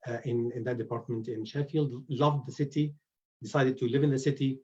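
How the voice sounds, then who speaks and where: Hesitant surgeon on a video call. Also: male voice, mid-range voice, fairly even intonation, a little fast, a little mellow, slightly rough. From Egypt. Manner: hesitant, many fillers and restarts. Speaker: guest lecturer, oral surgeon. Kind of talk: webinar lecture. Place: indoors at home. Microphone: computer mic on a video call. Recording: dull lo-fi video call audio.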